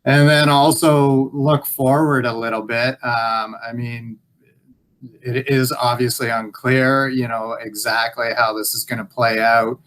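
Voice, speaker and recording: A man giving an informal update on a conference call in a halting, hesitant way. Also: medium pitch, level tone, a bit bright, rough recording. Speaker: local employer survey presenter. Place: group video call.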